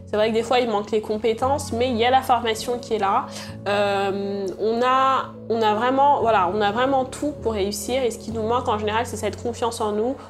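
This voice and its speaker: A woman's voice: a little high, fairly even intonation, a little fast, over music. A young woman from Senegal who grew up in France, speaking as an entrepreneur answering interview questions hesitantly, with many fillers and restarts. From Senegal, born in Congo, raised in France. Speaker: entrepreneur and channel creator.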